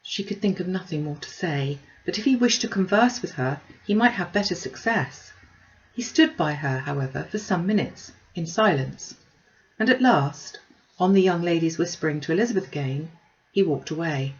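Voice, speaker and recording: A woman reading a classic novel aloud as an audiobook narrator, in an expressive storytelling style. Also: lowish voice, moderate pace, muffled recording. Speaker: audiobook reader.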